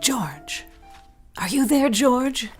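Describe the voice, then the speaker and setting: Slow, swooping, syrupy scheming governess. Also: female voice, sits high, lively intonation, soft background music, exaggerated voice. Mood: impatient, demanding. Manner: cooing, prim, syrupy. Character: scheming governess feigning sweetness toward her charges. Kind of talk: melodramatic audio drama acting.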